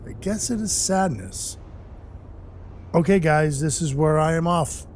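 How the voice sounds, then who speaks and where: A man playing a gruff, soft-hearted dwarf who works as Santa's helper, narrating his own story in an audio play in a wry, hard-boiled way. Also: fairly high pitch, a little slow, slight background noise, lightly acted. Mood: subdued, tender. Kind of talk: first-person audio play narration and dialogue. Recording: music under the voice. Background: music.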